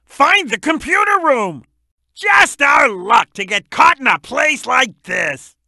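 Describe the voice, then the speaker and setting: A shouty cartoon croc detective for a video game, super high for a man, sharp and blaring, bossy n excitable. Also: slow, gravelly, dry recording, studio quality, full character voice. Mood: excited, urgent. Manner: shouty, emphatic, blustering. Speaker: video game character giving hints. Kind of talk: animated video game voice acting.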